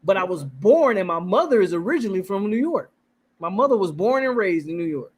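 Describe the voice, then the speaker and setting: A man's voice: sits high, sing-song, fairly quick, husky. A man raised in Florida, co-hosting a casual sports debate, arguing his point and talking over his co-host. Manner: argumentative, talks over others. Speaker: podcast co-host.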